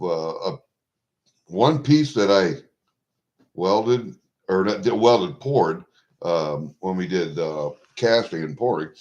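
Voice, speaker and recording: Low-voiced Midwestern man in his sixties. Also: a little mellow. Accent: Midwestern American. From Iowa, USA. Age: 60s. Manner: halting, blunt, salty-tongued. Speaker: vlogger. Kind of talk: rambling casual chat to viewers. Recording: dull lo-fi home recording.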